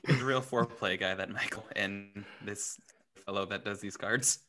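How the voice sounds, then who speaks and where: A man's voice: fairly low pitch, fairly even intonation, normal speed, crowd noise. A man on a podcast panel, tossing in casual, joking banter.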